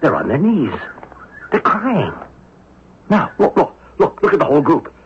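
An actor playing a gruff, skeptical, excitable police inspector in a 1970s radio drama. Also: male voice, a little high, measured pace, a little hiss or hum. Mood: impatient, excited. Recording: dull 1970s radio broadcast recording.

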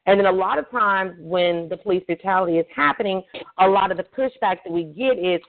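Earnest woman host on a tinny phone line. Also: medium pitch. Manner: earnest and affirming, measured. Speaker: radio show host. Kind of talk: call-in radio talk.

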